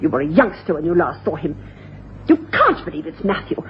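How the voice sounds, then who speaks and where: An actress playing a bitter, stubborn middle-aged sister in a radio drama, speaking with emphasis and indignation. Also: female voice, fairly low pitch, sing-song, fairly quick, breathy edge, exaggerated voice. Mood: indignant. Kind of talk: 1980s radio drama acting. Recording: dull old radio broadcast, faint noise.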